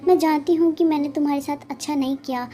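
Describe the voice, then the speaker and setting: A woman voicing a young bride who pleads for forgiveness in a soft voice. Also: sits high, fairly even intonation, fast talker, voice pushed up, lightly acted. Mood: pleading. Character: young bride, torn and apologetic.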